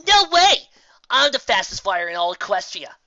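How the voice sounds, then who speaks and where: A woman's voice: medium pitch, big pitch swings, normal speed, sharp tone, lo-fi sound, voice pushed up. A woman playing a brash, boastful young pegasus pony with a cocky, showboating manner in a story reading. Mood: defiant.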